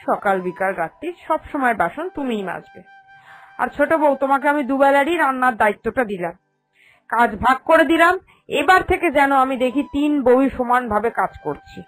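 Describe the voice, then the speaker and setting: A woman's voice: high voice, natural voice, poor audio. A woman playing a bossy, scolding old mother-in-law in a cartoon, stern and reproachful. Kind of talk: animated cartoon dialogue.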